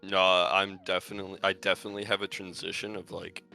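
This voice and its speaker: A young man with a very deep voice that still rings bright and forceful. He rambles through his stories in casual chat, leaning on "like" and "um" as he goes. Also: little pitch movement, quick pace, vocal fry, soft background music. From Canada. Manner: rambling storyteller, full of "like" and "um". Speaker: podcast guest.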